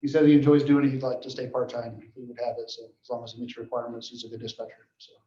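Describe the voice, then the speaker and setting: A man giving an informal report at a meeting, speaking haltingly and often clearing his throat. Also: mid-range voice, level tone, dark tone. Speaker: dispatch director reporting to board. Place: indoor meeting room. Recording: muffled, echoey meeting room recording.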